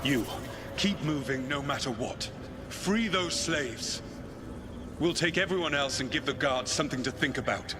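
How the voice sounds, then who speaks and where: An actor playing a plain-spoken, committed rebel commander in a fantasy game, rousing and commanding. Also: male voice, fairly high pitch, lively intonation, normal speed, background noise, close to an everyday voice. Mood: brisk, resolute. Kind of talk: dramatic game dialogue. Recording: loud music behind the voice.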